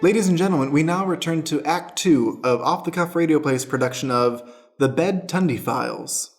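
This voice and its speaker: A man narrating an improvised radio play as stage manager, in a theatrical announcing style. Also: on the high side.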